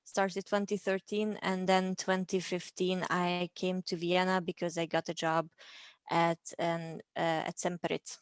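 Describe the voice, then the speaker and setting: A woman's voice: fairly low pitch, level tone, measured pace, clean tone, dry recording. Hungarian woman in her 30s, chatty, lots of ums, dry close sound. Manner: chatty with frequent ums and laughs. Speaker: interview guest. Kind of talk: casual interview.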